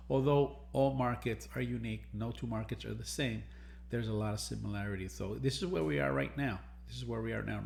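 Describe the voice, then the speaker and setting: A man's voice: fairly low pitch, creaky, a little hiss or hum. A man hosting a market analysis lesson, explaining charts in an explanatory way and repeating phrases for emphasis. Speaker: trading educator and market analyst host. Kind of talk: premarket market analysis over charts, semi-scripted explanatory talk.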